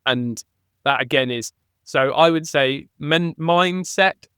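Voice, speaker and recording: Smooth-voiced former rugby player man. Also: fairly high pitch, takes it slowly, no echo, studio quality. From England. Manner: thinks aloud with restarts, animated on key points. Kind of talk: reflective conversational interview.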